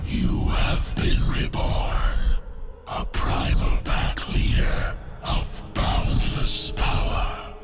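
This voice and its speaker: An actor playing an ancient primal alien beast elder who speaks in solemn, commanding proclamations. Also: male voice, extremely slow, loud music behind the voice.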